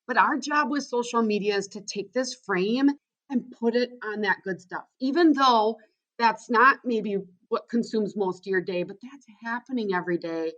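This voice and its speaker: A woman's voice: mid-range voice, bright, forceful tone, dull audio. A woman with a Wisconsin accent, a guest on a podcast interview, talking energetically and enthusiastically and tagging her points with "right?". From Wisconsin, USA. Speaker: guest, school social media trainer.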